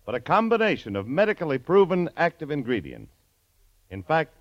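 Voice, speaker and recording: Casting: male American old-time radio announcer, animated scripted ad read, dry but dull sound. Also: medium pitch, dry recording. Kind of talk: mid-century scripted radio advertising. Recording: muffled old radio recording.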